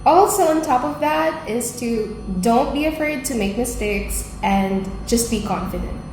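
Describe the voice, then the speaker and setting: Higher-pitched Filipina woman, slow and echoey. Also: lively intonation, darkish tone, a little hiss or hum. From Philippines. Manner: careful, composed, somewhat formal phrasing. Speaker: language assistant. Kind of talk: answering interview questions. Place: school room. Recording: very echoey room with faint music.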